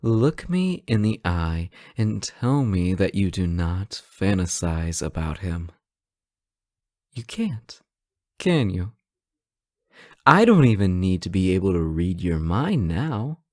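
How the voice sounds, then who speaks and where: A man's voice: sits low, very animated, takes it slowly, no room sound, studio quality, exaggerated voice. Guy acting as a sassy male hostage in a superhero audio roleplay, swoops around a lot, takes his time, teasing. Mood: knowing, probing. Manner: teasing, theatrical, conversational. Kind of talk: scripted roleplay audio drama, one side of a conversation.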